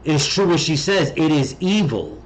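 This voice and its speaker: Higher-pitched American man, blunt and emphatic. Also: animated, normal speed, low-quality recording. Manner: blunt, emphatic, mildly profane. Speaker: video commentator. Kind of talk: casual reaction commentary.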